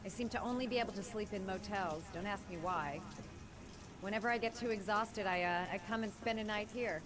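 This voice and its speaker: A woman's voice: mid-range voice, brisk, close to an everyday voice. An actress playing a friendly but guarded young woman photographer in a video game, speaking a little hesitantly. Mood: guarded, casual. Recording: music and rain behind.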